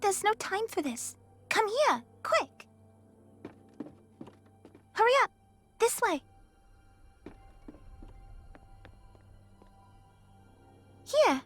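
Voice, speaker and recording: A woman's voice: very high voice, big pitch swings, takes it slowly, soft background music, heavily acted. A woman voicing a pert, impatient young girl companion in a fantasy game, inquisitive and bossy. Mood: urgent.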